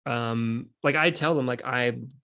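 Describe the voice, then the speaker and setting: A man chatting casually and explaining how he works, in an informal, halting way peppered with filler words. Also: medium pitch, bright, forceful tone. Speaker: real estate wholesaler in conversation. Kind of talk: casual chat.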